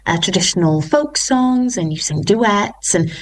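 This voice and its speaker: A woman's voice: medium pitch, expressive, unhurried. A young woman, a musical theatre singer, talking enthusiastically in an interview and often restarting her sentences. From Wales. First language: Welsh.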